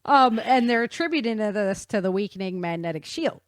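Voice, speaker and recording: A woman's voice: medium pitch, lively intonation, fairly quick, no room sound, clean studio sound. A woman hosting a talk show, explaining science in a chatty, informal way and checking in with her listener.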